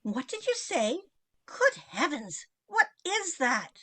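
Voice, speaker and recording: Woman acting a shrill, haughty old mother. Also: sing-song, slow pace, bright, forceful tone, full character voice. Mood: haughty vexation. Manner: haughty, formal, theatrical. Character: imperious, easily vexed old mother, grande dame. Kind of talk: comic old-time radio play acting.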